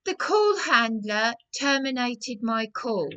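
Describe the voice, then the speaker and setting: A woman's voice: fairly high pitch, expressive, slow, bright, forceful tone, clear, resonant tone. A distressed woman making a complaint as a caller, agitated and insistent, repeating her phrases.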